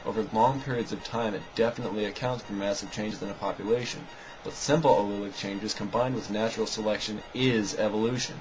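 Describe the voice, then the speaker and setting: A man's voice: on the low side, fairly even intonation, brisk, over music, low-quality recording. A man giving a scripted, argumentative rebuttal commentary in a sarcastic, dismissive way. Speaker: video narrator rebutting another video.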